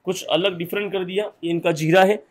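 Brisk male company presenter, high and quick, upbeat tour-guide energy in a small work room. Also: steady tone. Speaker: company representative host. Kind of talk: on-site customer review video. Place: small bottling room and shop.

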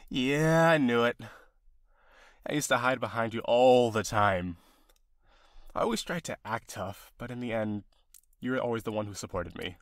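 A young man playing a friendly, sentimental old friend in an audio roleplay, casual and expressive with some hesitation. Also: medium pitch, creaky, no room sound, studio quality. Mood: playful. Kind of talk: ASMR-style roleplay, one side of a conversation.

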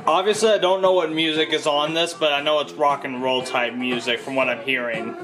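A man's voice: fairly high pitch, steady tone, brisk, over music, low-quality recording. A young adult man narrating a casual hands-on demo in a plainspoken, offhand way, recorded in a room at home from behind the camera. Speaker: gadget demonstrator.

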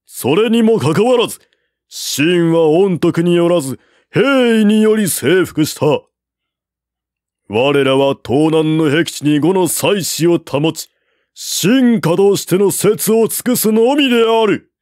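A man's voice: high-pitched, animated, takes it slowly, darkish tone, no room sound, clean studio sound, pitched above the performer's own voice. A man reading aloud voices a defiant male general of an ancient army, declaiming his challenge in a raised voice. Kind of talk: audiobook reading of a classical war novel.

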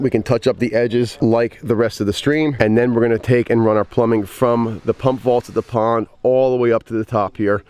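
A man's voice: mid-range voice, a little mellow, clean tone. A man in his forties hosts a walkthrough of an outdoor build, explaining each step in an upbeat way to a camera held close. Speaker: pond builder and channel host. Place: outdoors at a backyard construction site. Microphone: handheld camera held close.

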